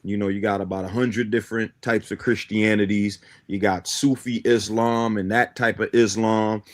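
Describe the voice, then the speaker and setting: A man's voice: lowish voice, little pitch movement, normal speed. A man from Guyana who grew up in Brooklyn, explaining his ideas in a didactic way as a talk show guest. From born in Guyana, grew up in Brooklyn, New York. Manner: didactic, often checks in with "right?". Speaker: guest, teacher of a belief system.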